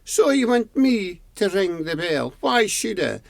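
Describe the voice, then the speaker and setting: A man voicing a slow, grumpy old Scottish bell keeper in a storybook tale, grudging and slow to understand. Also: high-pitched, very animated, vocal fry, no room sound, pitched above the performer's own voice, full character voice. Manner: grudging, questioning, slow to grasp things.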